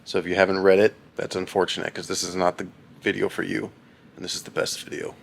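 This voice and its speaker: A young man with a very deep, low voice and a gravelly, creaky edge talks to the camera at home in a casual, deadpan way. He rambles and mocks himself, and a faint hiss sits under the recording. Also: fast talker. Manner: deadpan, rambling and self-mocking. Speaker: husband reading a book on camera. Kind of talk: casual vlog chat.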